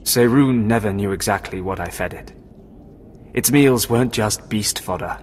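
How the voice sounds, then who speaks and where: A man's voice: fairly low pitch, normal speed, a little mellow, slight background noise, natural voice. A man playing a curt, sinister Sith beast trainer in a video game, with a brusque, brooding delivery. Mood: confiding, grim. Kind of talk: video game dialogue acting.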